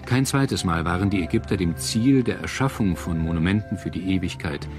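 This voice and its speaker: Male documentary narrator, low register, scripted delivery, clear music bed underneath. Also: a little fast. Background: background music.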